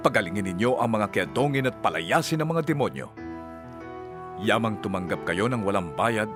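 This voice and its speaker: Casting: a solemn, measured holy teacher, very animated intonation, prominent music underneath, scripture audio drama. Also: male voice, on the high side. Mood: solemn, instructing.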